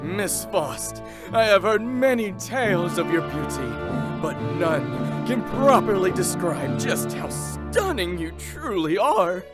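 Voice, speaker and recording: A man's voice: high-pitched, sing-song, slow pace, over loud music, full character voice. A man playing a smug, insincere noble suitor in an audio drama, pompous and flowery in his speech. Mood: smooth flattery. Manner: pompous, flowery, over-polite. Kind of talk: audio drama character acting.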